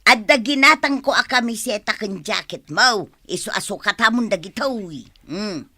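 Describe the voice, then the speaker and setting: A woman's voice: mid-range voice, expressive, normal speed, pushed and bright, dry recording. A woman playing a feisty, practical, scolding wife with an Ilocano accent in a radio comedy drama, nagging and exclamatory. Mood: brisk, coaxing. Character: feisty, practical wife fond of secondhand bargains, scolding her husband.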